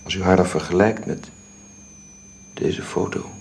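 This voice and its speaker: A man acting in a radio drama as a sorrowful, gentlemanly husband, speaking haltingly and reflectively. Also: low-pitched, fairly even intonation, slight background noise. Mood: grieving, bitter. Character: sorrowful husband of a dying woman, gentlemanly and brooding.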